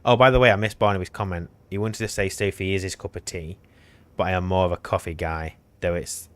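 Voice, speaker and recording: A man's voice: sits low, a bit bright. A man chatting on a live game stream, teasing his partner and explaining steps as they play. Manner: teasing, talkative, explains while playing. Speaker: co-host streamer. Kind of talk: casual live-stream chat.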